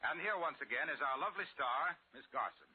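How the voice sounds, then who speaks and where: A man's voice: on the high side, lively intonation, brisk, breathy edge, phone-quality sound. A man announcing as host in a formal, showman-like 1950s radio style. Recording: band-limited old radio broadcast.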